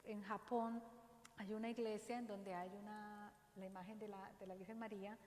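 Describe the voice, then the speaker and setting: A woman with a Dominican accent gives an earnest, exhorting faith talk in a church, speaking slowly and deliberately. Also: medium pitch, flat delivery, a little mellow, slight background noise. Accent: Dominican Spanish. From Dominican Republic. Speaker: parish speaker. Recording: roomy church echo, faint ambience.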